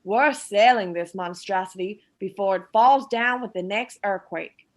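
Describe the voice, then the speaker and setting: A woman playing a bossy, scornful middle-aged daughter-in-law with a sharp, sarcastic, commanding manner in a radio play. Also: mid-range voice, a little slow, close to an everyday voice. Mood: scornful, defiant. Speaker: actor in an amateur radio play.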